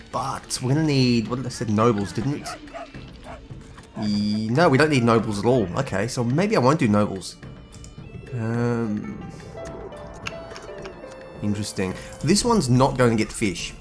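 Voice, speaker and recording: A hungover, husky-voiced man, rambling casually as he comments on his own gameplay. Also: medium pitch, lively intonation, normal speed, pauses often, faint music behind. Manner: rambling, thinks aloud. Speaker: gaming video host.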